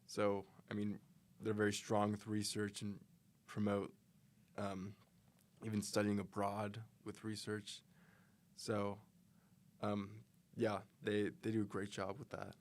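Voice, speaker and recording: A young man, a college student, answering interview questions in an earnest, steady way with some fillers. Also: lowish voice, slow pace, pauses often. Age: young adult.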